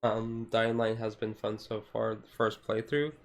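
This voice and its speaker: A low-voiced man talks casually on a chill livestream with very little rise and fall. He rambles in a low-key, hesitant way, with music playing behind him. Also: completely flat delivery, unhurried. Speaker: streamer. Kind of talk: casual chat, ASMR-style chill livestream.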